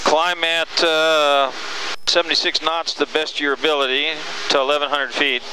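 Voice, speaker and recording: A man's voice: on the high side, level tone, moderate pace. A man working as a flight instructor, explaining steps and checking understanding over an aircraft headset in flight. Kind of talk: calm cockpit instruction. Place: small propeller plane cockpit in flight. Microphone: aviation headset. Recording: noisy aircraft intercom with engine drone.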